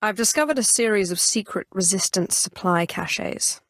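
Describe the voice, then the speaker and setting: A haughty female Sith, acted by a woman for a video game, recorded close and dry. She speaks with cold, clipped authority and open menace, every sentence imperious and ambitious. Her voice sits at a medium pitch. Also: lively intonation, moderate pace, no room sound, close to an everyday voice. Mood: cool calculation. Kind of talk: video game character dialogue.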